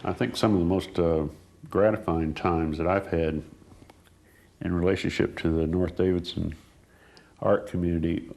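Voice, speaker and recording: Man with a very deep voice, reflective, unhurried talk. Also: fairly even intonation, gravelly, a little mellow. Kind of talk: documentary interview.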